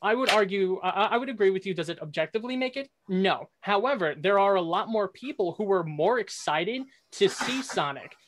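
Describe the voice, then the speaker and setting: A man's voice: high-pitched, lively intonation, a little fast, few pauses, fairly bright tone, slight background noise. A young man making his case in a casual debate, opinionated and wordy. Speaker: debate contestant. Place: small room at home, video call.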